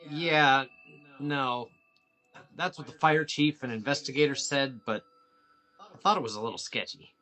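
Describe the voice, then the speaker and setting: An actor reading a laid-back, guarded small-town policeman in a film script, casual, halting and folksy. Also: mid-range voice, bright, forceful tone, low-quality recording. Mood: guarded, confiding. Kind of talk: table read of a film script.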